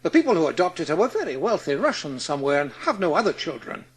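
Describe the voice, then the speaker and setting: A man's voice: fairly high pitch, very animated, quick pace, slight background noise. An actor playing a middle-aged, sensible family man and advisor in a dramatised audiobook, reasoning earnestly and reassuringly. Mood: considered.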